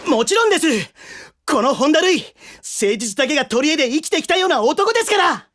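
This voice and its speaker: A man's voice: very high-pitched, big pitch swings, fairly quick, a bit bright, exaggerated voice. A man playing a hot-headed young reformed delinquent in an audio drama, blustering and prone to stammering. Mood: earnest. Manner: rough, blustering, stammers when rattled. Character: hot-headed young male delinquent turned baseball player. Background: background music.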